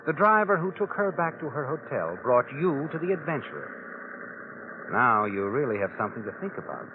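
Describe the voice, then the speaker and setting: An actor playing an omniscient, taunting narrator of crime tales in vintage radio drama style, dramatic and knowing. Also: male voice, medium pitch, sing-song, brisk, background noise, lightly acted. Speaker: host and narrator. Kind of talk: 1940s-50s radio drama narration in second person. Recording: tinny band-limited vintage radio recording. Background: dramatic music.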